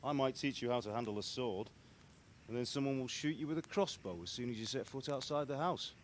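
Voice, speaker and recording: A male actor as a middle-aged blacksmith father in a dramatic video game. He is plain-spoken, weary and cautious, with a mid-pitched voice. Clear noise sits behind him. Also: fairly quick, lightly acted. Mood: weary, cautionary. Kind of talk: dramatic game cutscene acting.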